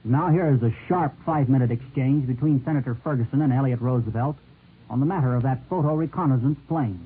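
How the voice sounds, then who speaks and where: Husky old time radio news guy, scripted, mid pitched, thin phone-like sound. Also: fast. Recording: tinny, narrow-band 1940s radio recording.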